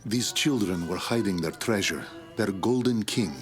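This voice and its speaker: An actor playing a weary, reflective soldier of an invading army, narrating in a measured, solemn way. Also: male voice, fairly low pitch, faint music behind, natural voice. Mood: reflective, somber. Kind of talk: scripted dramatic narration.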